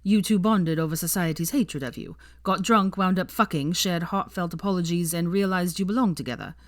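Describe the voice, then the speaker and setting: A woman's voice: lowish voice, a little fast, no echo, clean studio sound, lightly acted. A woman voicing a charming but needling young man with a pointed, sardonic, disapproving manner in a story reading. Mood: accusing.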